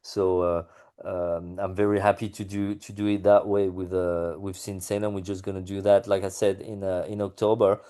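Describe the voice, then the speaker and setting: A man's voice: sits low, flat delivery, normal speed, dark tone, smooth. A man being interviewed about his music, talking casually with many fillers and restarts.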